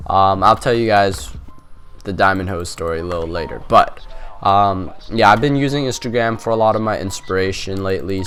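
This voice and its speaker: A man's voice: lowish voice, a bit bright, clear, resonant tone. A young man with a stuffy, nasal cold, chatting casually as he hosts a gaming video with lots of fillers. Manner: rambling, chatty, many fillers. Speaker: gaming channel host. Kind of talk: casual gameplay commentary.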